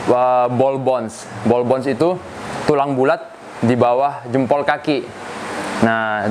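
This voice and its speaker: Male coach, slightly high voice. Casual how-to delivery, conversational, repeats key points, over noticeable ambient hum and vehicle noise. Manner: explanatory, conversational, repeats key phrases for emphasis. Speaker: cycling coach giving tips. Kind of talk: casual instructional demonstration.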